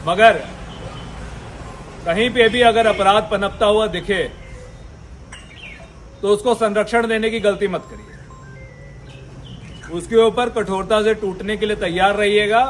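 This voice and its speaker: A man's voice: high-pitched, fairly even intonation, measured pace, pauses often, faint music behind. A man speaking as a senior police officer, addressing a meeting in an exhortatory way with emphatic repetition. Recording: live speech with background noise.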